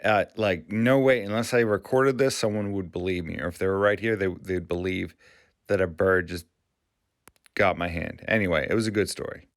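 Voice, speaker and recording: Low gravelly-voiced man rambling casually. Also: steady tone, no echo. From Maine, USA. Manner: rambling, halting, frequent restarts. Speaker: YouTube channel host. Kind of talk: casual vlog commentary.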